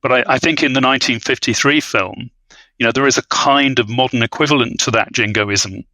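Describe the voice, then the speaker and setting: Halting scholarly man, bright dry sound. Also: mid-range voice, dry recording, poor audio. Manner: halting, hedging, scholarly, frequent "you know". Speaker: expert guest. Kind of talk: academic discussion on a film podcast.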